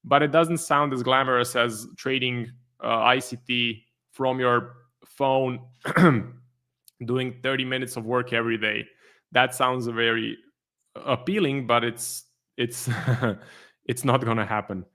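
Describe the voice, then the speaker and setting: A man from Croatia who speaks English with a Croatian accent. He hosts a live trading stream in a relaxed way, reading out viewer questions and answering them as casual commentary. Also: medium pitch, a little slow. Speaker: trading livestream host.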